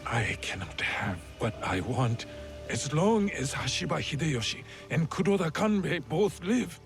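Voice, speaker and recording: A man playing a middle-aged, scheming feudal Japanese lord and stern father in a video game, measured and imperious, with veiled menace. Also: a little high, big pitch swings. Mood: cold menace. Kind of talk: cinematic video game acting. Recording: music behind the voice. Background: background music.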